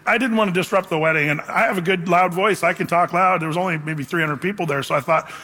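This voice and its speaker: A man's voice: high-pitched, quick pace, bright, forceful tone. A middle-aged man preaching a sermon in a casual, rambling way, full of "man" and "you know". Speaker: pastor. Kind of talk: sermon with storytelling. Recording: long hall reverb, faint music.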